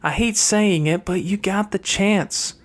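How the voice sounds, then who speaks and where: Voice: medium pitch, unhurried, voice pushed up, close to an everyday voice. A reader voicing a calculating young girl from a wealthy family in an audiobook, airy and pointed with a haughty disdain. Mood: resentful, grudging.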